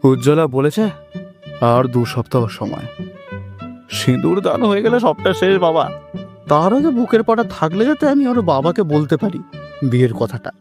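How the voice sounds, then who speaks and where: A man's voice: fairly high pitch, very animated, moderate pace, long pauses, over music. A man playing an anxious, lovesick young man in an audio story, hesitant and complaining. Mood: distressed. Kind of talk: audio story character acting.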